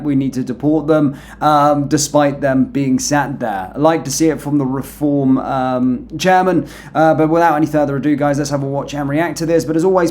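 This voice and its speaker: A man's voice: a little high, darkish tone. A man hosting a reaction channel, talking casually to his viewers as he comments. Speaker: YouTube host reacting to clips.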